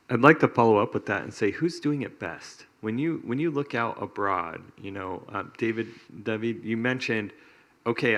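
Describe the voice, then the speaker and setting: A man's voice: on the low side, fairly bright tone. A man moderating a panel discussion, asking long, searching questions with frequent restarts.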